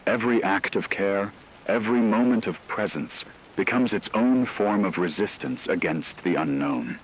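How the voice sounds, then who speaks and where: Guy doing a deep voiced grave radio anchor for apocalypse drama, measured and formal, rain noise behind. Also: close to an everyday voice, poor audio. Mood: solemn, resolute. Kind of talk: scripted dramatic broadcast narration.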